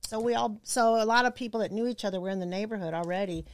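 Creaky-voiced playful woman chatting. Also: mid-range voice, racing. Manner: playful, wry. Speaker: podcast guest. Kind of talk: casual chat, reminiscing.

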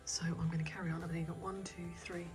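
A woman's voice: on the low side, little pitch movement, fast talker, loud music behind the voice. A woman giving a casual DIY tutorial at home, thinking aloud as she works on a staircase. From United Kingdom. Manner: chatty, thinking aloud while working. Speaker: DIY home renovation vlogger. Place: indoor hallway and staircase at home. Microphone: handheld phone or camera filming her hands.

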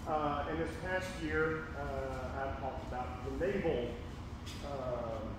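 A middle-aged man, a high school history teacher, giving a folksy, rambling public lecture in a big, carrying voice. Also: slow. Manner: folksy, rambling, lots of ums and restarts. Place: large echoey auditorium with a screen. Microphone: distant room mic, speaker away from it. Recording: echoey hall from far off, room noise.

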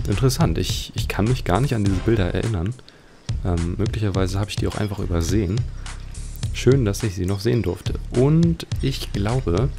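A man's voice: on the low side, gravelly. A man hosting a let's play with casual, musing commentary and wry understatement. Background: game music.

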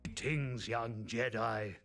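An actor playing a tiny, ancient, wise alien master in a video game, croaky and slow, with inverted word order. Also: male voice, medium pitch, fairly even intonation, a bit bright, soft background music. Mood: solemn.

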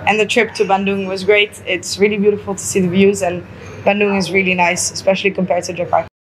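A young woman who grew up in Switzerland talking calmly about her studies and the program. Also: mid-range voice, quick pace, slight background noise, rough recording. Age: young adult. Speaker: hospitality student. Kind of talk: casual testimonial.

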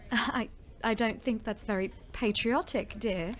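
A woman's voice: fairly high pitch, slow. An actress playing an eager, prim young woman ambulance driver in an audio play, gushing and genteel. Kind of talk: audio drama acting.

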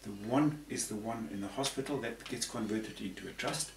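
Man, 60s, from Zambia, rambling explainer, gravelly, echoey room, faint hiss. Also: on the low side, normal speed. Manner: rambling, repeats words. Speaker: panel guest. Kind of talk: conversational explanation. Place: room with patterned tapestry backdrop. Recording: roomy, distant, faint hiss.

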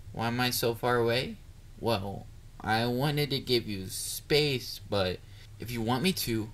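A man's voice: medium pitch, a bit bright. A man playing a bubbly, bashful catboy boyfriend in an intimate roleplay audio drama, dorky and easily flustered. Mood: cheerful, affectionate. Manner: playful, dorky, flustered, hesitant. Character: bubbly, bashful young male catboy partner.

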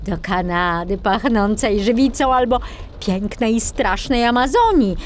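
A woman playing an excitable, sceptical young male forester in a children's storybook, expressive and exclaiming. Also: fairly high pitch, a little slow, slight background noise, voice pushed up. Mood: delighted. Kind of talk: children's storybook character acting.

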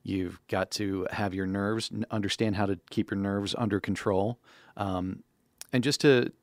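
A man with a fairly low voice speaks as a podcast co-host. His talk is informal and explanatory, recorded dry and close on a studio microphone. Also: fairly even intonation, a little slow, no echo. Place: small indoor podcast studio. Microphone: close boom-arm studio microphone.

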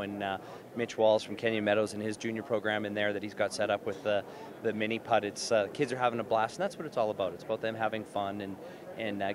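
A middle-aged man, giving spontaneous interview answers as an association president. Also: on the low side. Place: large indoor exhibition hall. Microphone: handheld reporter mic. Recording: busy convention crowd behind.

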